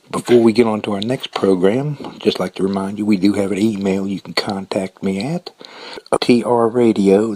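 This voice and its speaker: Older guy hosting an old radio show, husky, pretty low, chatting into a studio mic. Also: no echo, rough recording. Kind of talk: casual presenting between old radio programs. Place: small radio studio, indoors. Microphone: studio mic on boom arm, headset.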